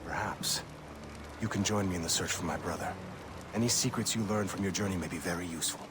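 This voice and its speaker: A man playing a loyal warrior companion in a video game, earnest and serious, speaking formally and deliberately. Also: deep voice, noisy background, close to an everyday voice. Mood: worried. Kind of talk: video game dramatic acting.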